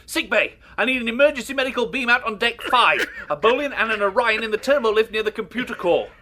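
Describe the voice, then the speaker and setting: Guy playing dry sardonic starship commander, high bright voice, really lively, radio play style. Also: quick pace, full character voice. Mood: alarmed, urgent. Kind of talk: audio drama acting.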